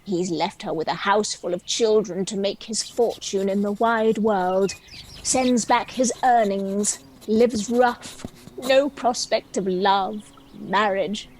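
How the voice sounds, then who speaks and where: A woman's voice: medium pitch, a little mellow, slight background noise, natural voice, low-quality recording. A woman playing a chatty, self-pitying dragon who tells its own story in a wry, theatrical comic stage monologue. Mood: wistful, self-pitying. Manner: wry, theatrical, measured storytelling with arch asides.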